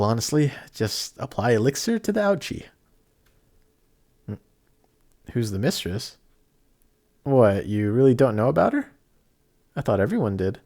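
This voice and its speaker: A young man playing a kindhearted apprentice sorcerer in an audio roleplay, chatty, excitable and easily flustered, with some stammering. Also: medium pitch. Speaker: voice actor. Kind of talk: amateur audio roleplay acting addressed to the listener.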